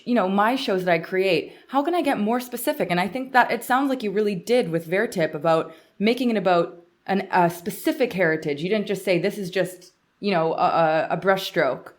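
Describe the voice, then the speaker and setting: A woman's voice: mid-range voice, brisk, few pauses. A woman co-hosting a podcast in a conversational interview style, chatty and thinking aloud. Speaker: podcast co-host.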